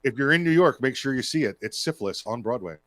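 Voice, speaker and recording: An American man co-hosting a political talk show, chatting casually in a halting, repetitive way. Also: medium pitch, animated, a bit bright, dry recording. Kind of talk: casual political commentary chat.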